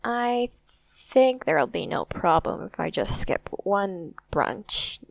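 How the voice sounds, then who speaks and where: A timid, polite cartoon contestant acted by a woman. She speaks slowly and haltingly, with plenty of fry in a medium-pitched voice. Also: big pitch swings, dry recording, heavily acted, poor audio. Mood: uneasy, polite. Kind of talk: cartoon character dialogue.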